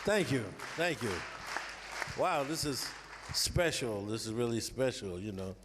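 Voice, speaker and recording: A man from New York with an American accent speaking from the stage as a guest musician, gracious and warm, often saying "you know". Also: mid-range voice, expressive, slow. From New York, USA. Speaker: guest saxophonist. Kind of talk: thank-you speech from stage. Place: concert hall stage. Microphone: stage microphone. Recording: hall reverb, loud crowd noise.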